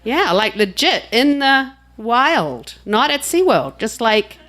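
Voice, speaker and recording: A woman's voice: on the high side, very animated, takes it slowly, fairly bright tone. Chatty New Zealand woman hosting. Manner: chatty and jokey, laughing between remarks. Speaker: host introducing a reader. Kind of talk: casual spoken introduction at a live reading.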